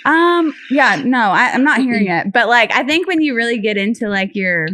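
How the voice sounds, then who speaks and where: Podcast co-host: enthusiastic American woman, expressive pitch movement, casual interviewing, dry studio-like sound. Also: normal speed, dry recording, faint music behind. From Texas, United States.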